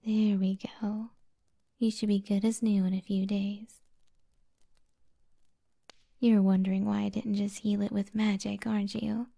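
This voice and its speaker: Female performer as a kind witch, very dark mellow tone, creaky breathy half-whisper, soothing ASMR roleplay, gently teasing. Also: medium pitch, little pitch movement, measured pace, pauses often. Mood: gentle, wise. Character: kind, flirty, teasing witch healer in the woods. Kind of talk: soft-spoken ASMR roleplay.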